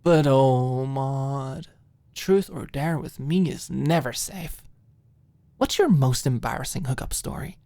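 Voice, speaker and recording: A woman's voice: very deep voice, slow, no room sound, full character voice. A woman voicing a playful, tipsy young woman with a mischievous, over-eager, teasing manner in an audiobook. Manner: excitable, teasing.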